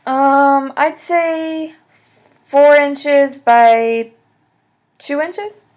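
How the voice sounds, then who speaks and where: A woman's voice: high voice, fairly even intonation, drawn-out words, a little mellow. A woman in her twenties answering job interview questions on the phone in a casual, conversational way, often saying "like," recorded at a desk in a small room. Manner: casual, frequent "like" as filler. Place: small room at home, indoors.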